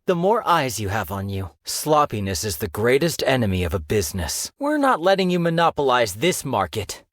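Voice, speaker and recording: An actor playing a sly young male stockbroker in a game, polite and businesslike with a quiet menace. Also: mid-range voice, no room sound, studio quality. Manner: suave, polite yet menacing, business jargon. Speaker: game character voice lines. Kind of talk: studio game voice acting. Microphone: close studio mic.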